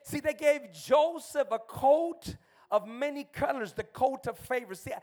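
A fiery guest preacher, a man from El Salvador who grew up in America and speaks with an American accent. His voice sits high, and he works the church, calling for amens and rising to shouts at the peaks. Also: unhurried. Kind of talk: energetic church sermon.

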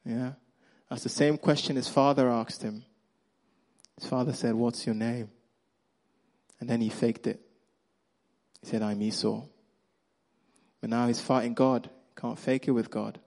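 A man with a Nigerian accent preaching a sermon, acting out the characters' lines and swinging between loud emphasis and quiet, hushed moments. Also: mid-range voice, measured pace, long pauses, poor audio. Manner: animated storyteller, acts out Bible characters' lines.

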